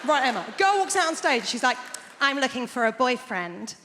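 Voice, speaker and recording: Female stand-up, high voice, chatty and incredulous, reverberant venue with audience noise. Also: big pitch swings, brisk, a crowd in the background. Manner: chatty storytelling with incredulous asides. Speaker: stand-up comedian. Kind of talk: stand-up comedy. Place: large theatre stage.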